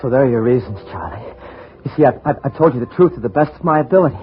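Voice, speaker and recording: An actor playing a desperate, fast-talking schemer on the run in an old-time radio thriller, with a smooth, wheedling way of pleading. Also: male voice, mid-range voice, expressive, faint music behind, close to an everyday voice. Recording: muffled, noisy old radio drama recording.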